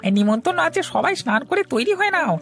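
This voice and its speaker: A woman's voice: high voice, very animated, quick pace, soft background music. A woman voicing a poor, grateful fishing villager in a cartoon, with a deferential, plaintive manner. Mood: eager. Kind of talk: Bengali cartoon dialogue.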